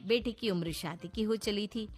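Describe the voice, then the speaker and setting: A woman's voice: medium pitch, moderate pace. A woman doing scripted narration for a moral story cartoon.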